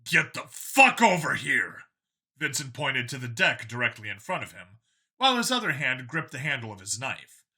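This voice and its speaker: Casting: man for dramatic audiobook narration, expressive and varied pitch, bright, forceful tone. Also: a little fast, husky. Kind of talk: dramatic scripted story narration.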